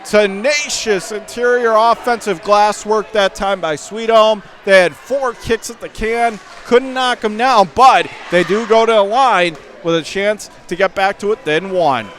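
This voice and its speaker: High-pitched male basketball play-by-play announcer. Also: a little slow, few pauses. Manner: clipped, urgent phrases rising to excited calls. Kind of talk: live basketball play-by-play commentary. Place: indoor high school basketball gym. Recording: game crowd and gym noise behind.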